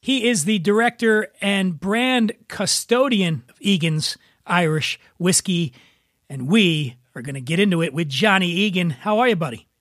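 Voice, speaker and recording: A man's voice: high voice, normal speed, fairly bright tone, no echo, studio quality. A man hosting a podcast over a video call, talking in a loose, joking, rambling way. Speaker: podcast host. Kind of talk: casual chat on a video call.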